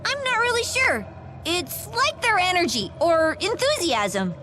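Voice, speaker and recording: A woman's voice: very high-pitched, very animated, measured pace, very noisy, full character voice. A woman voicing a cheeky, gluttonous little plush toy creature in a video game. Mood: contented, playful. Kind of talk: English video game voice acting. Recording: light music behind the voice.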